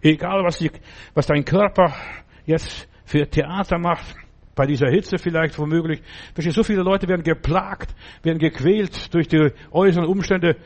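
Man, preacher, fervent sermon, slightly high voice. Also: fairly even intonation, moderate pace. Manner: emphatic, fervent, exclaims names loudly. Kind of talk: sermon and prayer.

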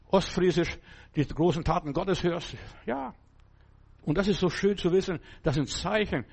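Emphatic preacher man, slightly high voice. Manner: emphatic, keeps asking listeners whether they follow; speaks in short phrases with sudden pitch and volume jumps. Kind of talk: sermon.

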